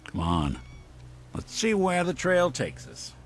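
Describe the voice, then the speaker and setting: An American actor playing a weary old android detective in a video game, with wry, halting, noir-style delivery. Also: male voice, on the high side, huge pitch swings, slight background noise, exaggerated voice. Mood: warm, wry.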